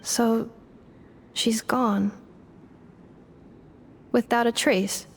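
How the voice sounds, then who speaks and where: A woman playing a gentle, uncertain young heroine in a video game, speaking in a hesitant, questioning way. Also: fairly high pitch, fairly even intonation, very slow, slight background noise. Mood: quietly stunned. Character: gentle, uncertain young woman searching for her lost origins. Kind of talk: scripted game dialogue.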